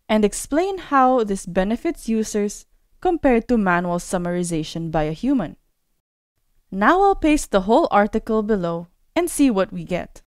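A woman narrating a scripted screen-recorded tutorial as a course instructor, explaining step by step in a clear way. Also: medium pitch, a little mellow, dry recording, studio quality.

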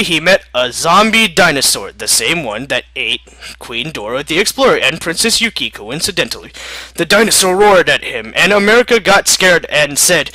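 A man reading a comic scripted story as narrator in an animated, dramatic way. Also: on the high side, dry recording, low-quality recording.

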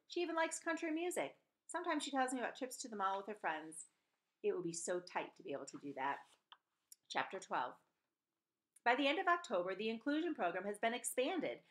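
A woman in her forties reading a novel aloud expressively, with the odd stumble, into a computer mic in a room at home. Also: medium pitch. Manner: animated, with stumbles and self-corrections. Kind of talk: expressive storybook read-aloud. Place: small room at home, indoors. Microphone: computer webcam mic at desk distance.

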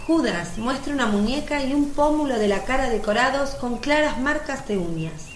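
A woman's voice: sits high, lively intonation, normal speed. A woman giving scripted narration for a religious radio drama. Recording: roomy sound, faint background noise.

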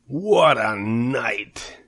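A man voicing a gloating, greedy male rat with a husky, slow drawl. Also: medium pitch, lively intonation, a bit bright, pitched above the performer's own voice, heavily acted.

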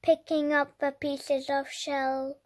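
A very high-pitched, childlike voice reads a picture book aloud. The delivery is extremely slow and deliberate, with dramatic emphasis suited to young children. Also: level tone. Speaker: children's storybook reader. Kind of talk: expressive read-aloud narration for young children.

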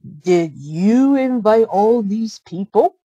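A woman's voice: mid-range voice, expressive, takes it slowly, dark tone, slightly rough, dry recording, muffled recording. A woman voicing a happy, devoted young prince in a storybook, earnest and emphatic. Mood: grateful. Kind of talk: storybook character reading.

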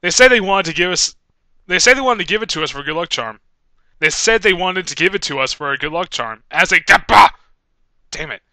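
A young man with a fairly high, very bright voice reads script takes in a dry, close recording. He stumbles, restarts and swears in exasperation, and his pitch moves around a lot. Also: expressive, brisk, no room sound. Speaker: amateur voice actor. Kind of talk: reading script takes for a fan animation, breaking off to swear.

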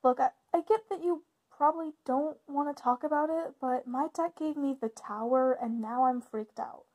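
A woman acts out a protective big sister from a witches' coven in an audio roleplay. Her voice sits high. She speaks informally and a little haltingly, always caring and reassuring toward her younger sibling. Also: darkish tone, close to an everyday voice. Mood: concerned. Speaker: voice actor in ASMR roleplay. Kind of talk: ASMR roleplay, conversational acting.

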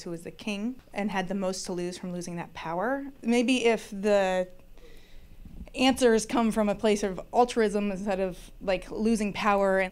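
A woman's voice: mid-range voice, a little mellow, clear, resonant tone. A woman audience member giving a thoughtful comment in a group discussion. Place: theatre audience, indoors.

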